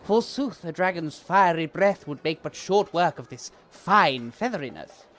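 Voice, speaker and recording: A man's voice: a little high, expressive, measured pace, a bit bright. A man playing a pompous, gung-ho medieval knight who speaks in mock-archaic style in a comic audio drama. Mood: pompous, haughty.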